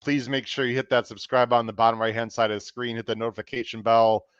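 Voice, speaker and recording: A man hosting a horse racing podcast, a little hoarse, running through plugs and sponsor reads in an upbeat, folksy way. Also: mid-range voice, level tone, fast, no room sound, muffled recording. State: slightly hoarse. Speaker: podcast host.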